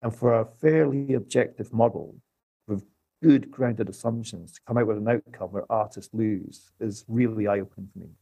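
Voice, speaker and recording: A man's voice: fairly low pitch, moderate pace, gravelly, mellow, husky, dry recording. A man speaking as an economist guest on a podcast, explaining things step by step with emphasis.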